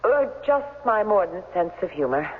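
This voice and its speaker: A brisk young secretary in a vintage radio drama, tart and defensive with an anxious streak. The sound is dull and reverberant, with faint noise behind her. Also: on the low side, very animated. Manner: clipped, tart, businesslike. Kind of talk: 1970s radio drama acting.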